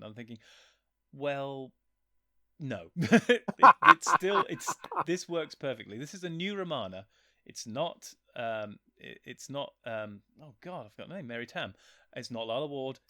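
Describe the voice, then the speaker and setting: A man's voice: mid-range voice, expressive, normal speed, bright, forceful tone, no echo, clean studio sound. A man chatting casually as a guest, talking enthusiastically and wandering off on tangents. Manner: enthusiastic, rambling and digressive. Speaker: guest, writer and voice actor. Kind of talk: casual chat.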